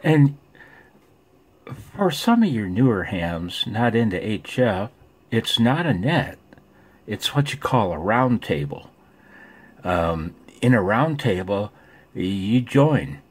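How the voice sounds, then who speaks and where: Male radio hobbyist, husky and lowish, rambling folksy delivery, casual monologue. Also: sing-song, takes it slowly, pauses often. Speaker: ham radio vlogger.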